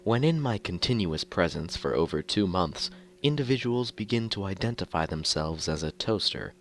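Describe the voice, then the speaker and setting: Very deep-voiced male horror narrator. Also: expressive, normal speed, slight background noise. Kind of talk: scripted horror fiction narration in first person.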